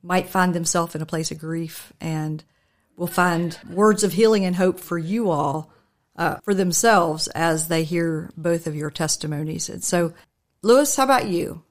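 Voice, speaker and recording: A middle-aged woman pastor hosting a radio talk show, speaking gently and unhurriedly with frequent ums. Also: on the low side, measured pace.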